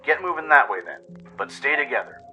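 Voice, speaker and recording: A man's voice: medium pitch, big pitch swings, brisk, pushed and bright, soft background music, voice pushed up, low-quality recording. A man voicing a wary, commanding male gunslinger pony in a radio play.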